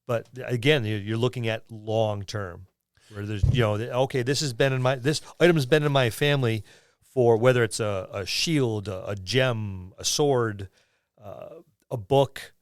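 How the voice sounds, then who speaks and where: A male podcast host with a medium-pitched voice chats casually about tabletop role-playing. He rambles through examples, and the sound is dry with no room echo. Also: moderate pace. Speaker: podcast host and experienced game master.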